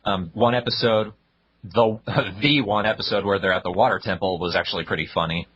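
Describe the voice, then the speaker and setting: A man's voice: fairly low pitch, fairly quick, fairly bright tone, lo-fi sound, slight background noise. A young man co-hosting a casual podcast, reviewing web videos in a blunt, dry way. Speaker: podcast co-host.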